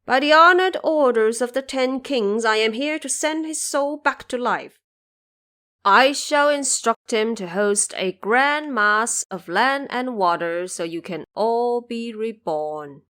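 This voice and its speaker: A woman's voice: a little high, a bit bright, clean tone. A woman playing a solemn male judge of the underworld in an audio drama, courteous, formal and commanding. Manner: formal, measured, grave.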